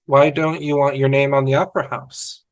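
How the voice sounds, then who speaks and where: A man's voice: medium pitch, flat delivery, moderate pace, close to an everyday voice. A man playing a cheerful, dim-witted young man in a scripted comedy read over a video call. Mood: upbeat, sincere. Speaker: fan table-read actor.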